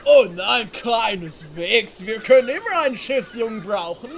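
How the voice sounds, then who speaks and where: A woman's voice: fairly high pitch, animated, a little slow, slight background noise. A woman playing a courteous, suave male sea captain in a comedic audio play, with flowery politeness. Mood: mocking.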